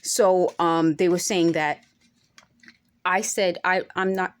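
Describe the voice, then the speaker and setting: A woman's voice: on the low side, steady tone, takes it slowly, clear, resonant tone. A woman in her forties talking straight to camera in an unscripted monologue, earnest and insistent, now and then rising to emphatic peaks. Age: 40s. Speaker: vlogger.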